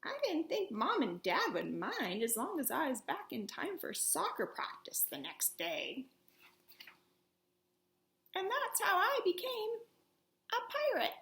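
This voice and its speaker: A woman reading a children's story aloud with theatrical, expressive emphasis in a roomy, echoing space. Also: high voice, a little slow, creaky, pauses often. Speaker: storybook reader.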